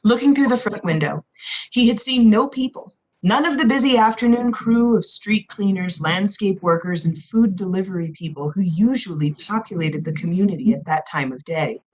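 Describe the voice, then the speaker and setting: A woman reading a novel aloud as expressive scripted narration. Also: medium pitch, moderate pace, low-quality recording. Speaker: audiobook narrator.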